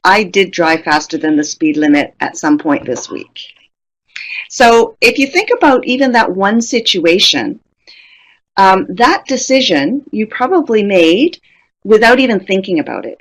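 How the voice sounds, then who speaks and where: A middle-aged woman presenting a professional webinar in a conversational, reflective way, putting questions to her audience. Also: on the low side. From Canada.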